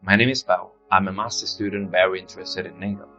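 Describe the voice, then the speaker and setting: A man's voice: sits low, fairly even intonation, quick pace, pushed and bright. A young man from Catalonia, a master's student giving an earnest prepared statement. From Catalonia, Spain. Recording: dull lo-fi audio with faint music.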